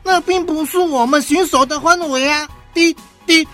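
A woman playing a calm, fair-minded tree frog captain in a children's radio drama. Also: sits high, moderate pace, faint music behind. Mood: inquiring, firm.

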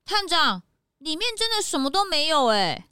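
Cocky young sidekick, high forceful voice, lively intonation, brash, children's audio drama. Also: female voice, moderate pace, no echo, clean studio sound, heavily acted. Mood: puzzled. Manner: brash, taunting.

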